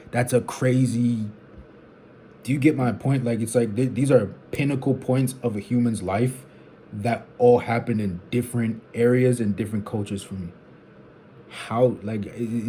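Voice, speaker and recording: A young man muses aloud for his vlog, searching and rambling. His voice sits low and soft-edged, slightly dark in tone. A faint background hum stays under his talk. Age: 20s. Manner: rambling, searching, restarts sentences. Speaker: vlogger. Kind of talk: unfiltered thinking out loud.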